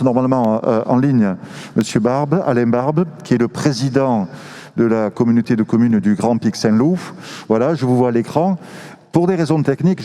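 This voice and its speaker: Guy moderating a forum, soft dark tone, formal n polite, big echoey hall sound. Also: mid-range voice. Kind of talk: moderating a panel discussion.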